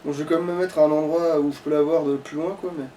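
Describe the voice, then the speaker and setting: A man with a high voice doing casual let's play commentary. He talks in slang, grumbles and curses freely. He sounds a little away from the mic, with faint noise behind him. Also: fairly even intonation, quick pace, mellow, far from the mic. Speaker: let's play streamer playing the game.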